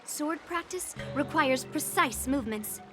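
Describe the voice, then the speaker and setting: A woman's voice: high-pitched, animated, unhurried. An actress voicing a proud, competitive young swordswoman in a game, with a haughty, blunt way of talking. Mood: smug. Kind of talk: game cutscene acting. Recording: prominent music behind the voice.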